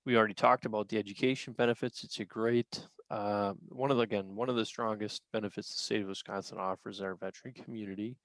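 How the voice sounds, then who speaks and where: Low-voiced American man, halting presenter. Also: fairly even intonation, a bit bright, no echo, poor audio. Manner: halting, explanatory, frequent pauses mid-sentence. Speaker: county veterans service officer presenting benefits. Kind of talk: informal informational presentation. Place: virtual briefing, dry-sounding room.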